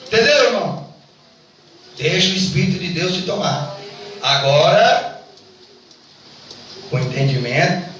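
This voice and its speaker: A Brazilian man with a high-pitched voice preaches fervently to his congregation. He repeats his phrases and presses listeners with questions. The sound is heavily echoing and distant from the mic, as in a church. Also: fairly bright tone. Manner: repetitive, exhorting, often addressing listeners with rhetorical questions. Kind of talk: fervent Pentecostal sermon to a congregation. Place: church service indoors. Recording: very echoey church, distant mic, clear noise.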